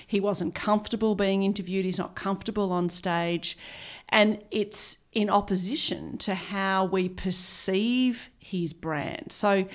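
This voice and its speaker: Chatty woman hosting an interview. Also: medium pitch. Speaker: interviewer, show host. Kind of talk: conversational interview.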